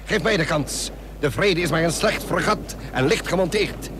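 A man's voice: on the high side, expressive, normal speed, natural voice. A man playing a brave, eager naval captain in a historical radio drama, fervent and emphatic. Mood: pleading. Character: eager, brave frigate captain, begging to redeem himself. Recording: noisy radio recording with harbour-like ambience.

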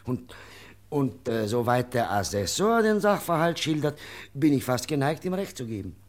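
An actor playing a fair-minded official in a radio play, reasoning formally and deliberately. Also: male voice, medium pitch, big pitch swings, close to an everyday voice. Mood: measured. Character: fair-minded, measured official defending a devout monk. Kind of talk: German radio play acting.

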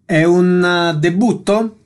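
A man's voice: high voice, takes it slowly. A man hosting a radio programme, putting questions to guests and announcing shows. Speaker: radio host interviewer.

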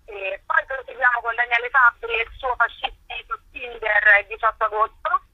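A woman's voice: on the high side, fairly even intonation, moderate pace, slightly rough, dry recording. A woman being interviewed over a phone line, explaining a festival programme in a chatty, promotional way. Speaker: interviewed festival curator and performer. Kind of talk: live radio phone interview. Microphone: telephone. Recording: tinny roomless phone line.

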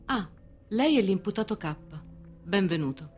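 A woman's voice: mid-range voice, animated, normal speed, darkish tone, lightly acted. A woman acting in a radio drama as a servile, resentful male usher and wronged husband, plaintive and brooding. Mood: harried, aggrieved. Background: music.

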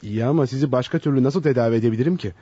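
An actor playing a polite, bemused young bachelor psychologist in a radio play, courteous and questioning, easily flustered. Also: male voice, medium pitch, very fast, warm tone, husky, close to an everyday voice. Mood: surprised, doubtful. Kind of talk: radio theatre acting. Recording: dull, roomy lo-fi radio drama.

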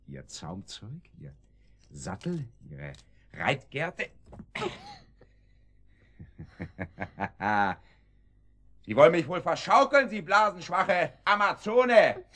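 An actor playing a menacing, mocking kidnapper in a radio drama, with a sarcastic, domineering manner of taunting. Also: male voice, a little high, wildly swooping pitch, drawn-out words, long pauses, a bit bright, exaggerated voice. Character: menacing, mocking male kidnapper, boss of a sidekick. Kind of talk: German radio drama acting.

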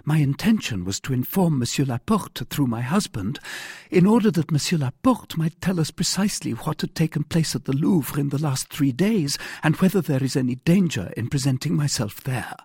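Anxious young wife, played by a male reader: raised pitch, husky, pleading, formal storybook diction. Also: lively intonation, moderate pace, a little mellow, no echo, clean studio sound. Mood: explaining. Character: affrighted, anxious pretty young married woman, earnest and pleading. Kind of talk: audiobook character voice.

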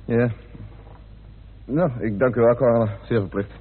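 An actor playing a brisk, businesslike investigator in a radio play, speaking in a clipped, direct way. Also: male voice, medium pitch, breathy edge, close to an everyday voice. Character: brisk, businesslike man who leads an investigation. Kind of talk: radio drama acting. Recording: tinny narrow-band old radio recording.

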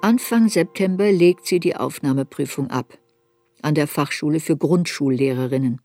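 A woman's voice: low-pitched, normal speed, dark tone, soft background music. An actress playing an adult woman memoirist who searches her girlhood unsparingly, in literary radio play narration. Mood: reflective.

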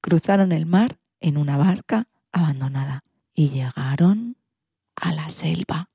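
A woman storyteller of narrates for children. Her voice is husky and dark, fairly low, recorded close and dry. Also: expressive, a little slow, dry recording. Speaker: storyteller and presenter.

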